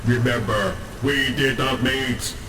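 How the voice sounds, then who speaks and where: A man's voice: medium pitch, fairly even intonation, takes it slowly, echoey room, distant mic, noisy background, close to an everyday voice. A man playing a pompous, literal-minded combat robot who speaks in stilted, grandiose phrases in a comedic audio drama. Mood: businesslike. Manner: stilted, grandiose, refers to itself as unit.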